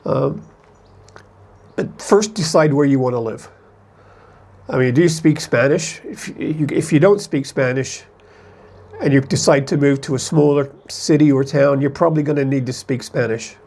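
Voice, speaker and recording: A man's voice: mid-range voice, moderate pace, gravelly, a little mellow. A man from the United States talking casually to camera as an expat vlogger, in a rambling, conversational way that often corrects itself.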